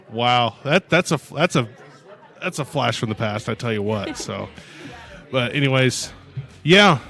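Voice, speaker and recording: A young man hosting a podcast in a loud, booming voice. He rambles casually and laughs often. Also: animated, slow, long pauses. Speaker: podcast host. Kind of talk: casual chat.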